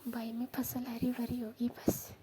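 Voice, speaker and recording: A woman's voice: on the high side, monotone, measured pace, dark tone, slight background noise, lightly acted. A woman playing a coy village sister-in-law on a phone call, with a Rajasthani accent and a languid, teasing manner. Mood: drowsy, dismissive. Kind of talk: staged phone call, casual chat.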